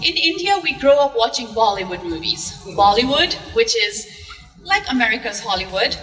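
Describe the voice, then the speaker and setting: A woman telling her personal story on stage in a slow, dramatic, poetic way. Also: fairly high pitch, sing-song, sharp tone, soft background music. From Zambia. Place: art museum event stage with audience. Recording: distant mic in a reverberant hall.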